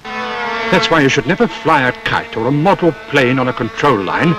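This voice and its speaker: A British actor playing a knowing, patient but stern safety teacher, explaining and admonishing in a scripted public information film. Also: male voice, medium pitch, big pitch swings, natural voice. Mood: grave, explanatory. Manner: instructive, admonishing, measured explanations. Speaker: safety film narrator and instructor.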